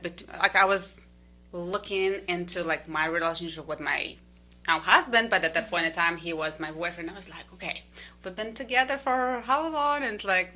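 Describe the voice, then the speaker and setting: A woman in her thirties from Ukraine, a guest telling her story in a relaxed, chatty way with many fillers and slightly phrasing. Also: mid-range voice. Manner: relaxed, many "um"s and "like"s, slightly non-native grammar. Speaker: interview guest. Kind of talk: casual conversational storytelling.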